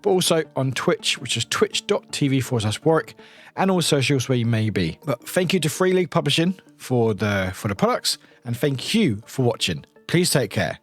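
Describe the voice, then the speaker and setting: A man's voice: medium pitch, sing-song, normal speed, soft background music. A man giving a casual, enthusiastic, rambling unboxing commentary as a review host.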